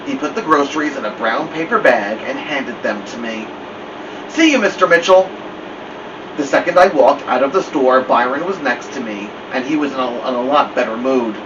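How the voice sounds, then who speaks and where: A man reading a storybook aloud as a story-time host, with expressive narration. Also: medium pitch, fairly quick, few pauses. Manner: expressive read-aloud delivery. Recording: muffled lo-fi, distant mic, loud hiss.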